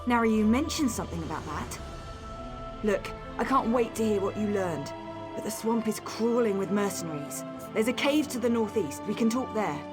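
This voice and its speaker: A woman's voice: mid-range voice, natural voice. A woman playing a wary, sardonic young fugitive in a fantasy video game, with a wry and feisty manner. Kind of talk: video game character dialogue.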